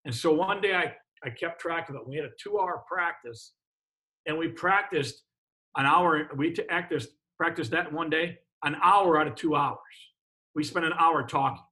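A man's voice: fairly high pitch, normal speed, bright, forceful tone, rough recording. A middle-aged man, a basketball coach, talking practice in casual chat, emphatic and often restarting his sentences. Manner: emphatic, repeats words while restarting sentences.

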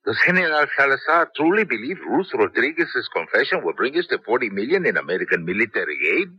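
An actor playing a sadistic, menacing army captain and interrogator with a Spanish accent and a rough voice in a radio drama. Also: male voice, medium pitch, big pitch swings, fast talker, no room sound. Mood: defensive. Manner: menacing, theatrical. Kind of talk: 1970s radio drama acting. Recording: dull lo-fi old radio broadcast.

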